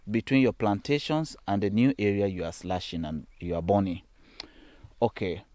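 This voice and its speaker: A man with a Sierra Leonean accent explains things in an unscripted, instructional way, deliberately and with emphatic repetition. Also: on the low side, a little mellow, dry recording. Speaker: agricultural trainer. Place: outdoors on a farm plantation.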